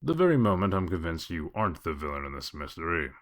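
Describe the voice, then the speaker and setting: A gruff comic-book detective acted by a man with a low voice. He talks in a dry, wry, evasive way, sardonic and unhurried to explain himself. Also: big pitch swings, slight background noise. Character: gruff, sardonic male detective of exiled fables. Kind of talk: comic dub character acting.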